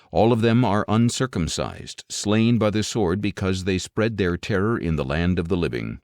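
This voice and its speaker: Male narrator for audio scripture: low register, grave and measured declamation, very dry recording. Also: a little mellow, dry recording. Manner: solemn, measured, declamatory reading.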